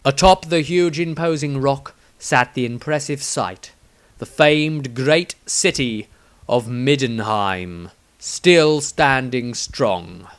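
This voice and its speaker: A man reading a fantasy story as a dramatic audiobook narrator, with theatrical, deliberate emphasis. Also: medium pitch, a bit bright.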